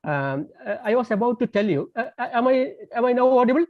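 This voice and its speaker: A man's voice: very high voice, expressive, fast, no room sound. A man whose first language is Tamil gives a lecture over a phone call, stopping often on fillers and false starts. The sound is muffled, with a narrow, lo-fi tone. The room around him sounds close and dry. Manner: hesitant, many fillers and restarts. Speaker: guest lecturer. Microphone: phone on a landline call.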